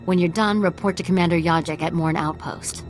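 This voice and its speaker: A woman playing a principled army officer in a game drama. Her voice is on the low side for a female, direct and businesslike. Quiet music plays underneath. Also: fairly even intonation, fairly quick, natural voice. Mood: brisk, concerned. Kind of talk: dramatic video game acting.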